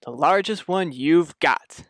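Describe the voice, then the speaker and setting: A man's voice: fairly high pitch, lively intonation, slow, a bit bright, no echo, studio quality. A man playing an uneasy, devoted husband in a radio mystery, casual and subdued. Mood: uneasy, tender.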